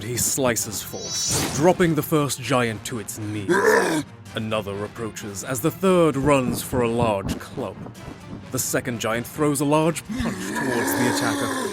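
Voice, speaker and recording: Man narrating dramatic fantasy over music. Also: medium pitch.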